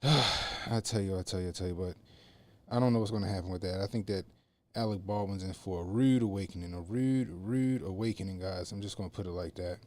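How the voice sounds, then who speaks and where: A man hosting a casual political commentary livestream, talking loosely with slang and repeating phrases for emphasis, in what he calls a monotone voice. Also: sits low, a little fast, no echo. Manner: self-described monotone voice. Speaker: livestream host. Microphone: podcast mixer setup. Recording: faint background music under the voice.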